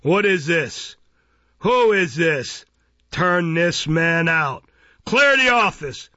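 A pompous, bullying magistrate from a classic novel , acted out by a male reader, barks in a raised, gravelly voice. He is contemptuous and peremptory, with a husky edge, on a dull recording. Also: fairly high pitch, expressive, measured pace, pushed and bright, no room sound, pitched above the performer's own voice, exaggerated voice. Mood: barking indignation. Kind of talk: dramatised audiobook reading of a classic novel.

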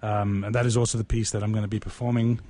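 A man hosting a radio show, talking casually and somewhat haltingly, often repeating words as he goes. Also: lowish voice, flat delivery, dark tone. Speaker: radio show host and writer-performer. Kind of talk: casual on-air conversation.

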